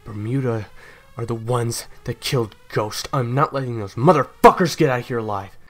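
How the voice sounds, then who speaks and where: A man playing a guilt-ridden, protective, foul-mouthed assassin in an audio roleplay, halting and repeating himself, often dropping to urgent whispers. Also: medium pitch, lightly acted. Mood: angry, defiant. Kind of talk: audio roleplay drama addressed to the listener.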